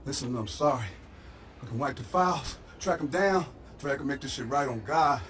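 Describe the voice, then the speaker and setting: A man playing a brash, slippery American street hustler in a video game cutscene, streetwise and excitable. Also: mid-range voice, moderate pace, a little hiss or hum, natural voice. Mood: pleading, defensive. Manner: streetwise, excitable, pleading or defiant.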